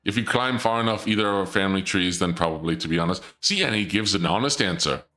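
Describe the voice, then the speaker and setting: A young man streaming and chatting casually, rambling and joking in a theatrical dark-lord persona. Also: low-pitched, fast talker.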